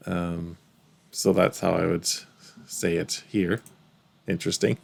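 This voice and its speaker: A man's voice: level tone, takes it slowly. A man with an English Canadian accent, musing casually as he reacts to a video. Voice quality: gravelly, low. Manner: thoughtful, musing, trails off softly.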